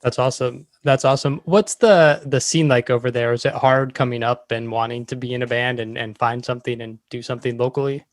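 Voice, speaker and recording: A man's voice: mid-range voice, fairly even intonation, brisk, few pauses, dry recording, clean studio sound. A man hosting a podcast, asking questions in a casual interview style.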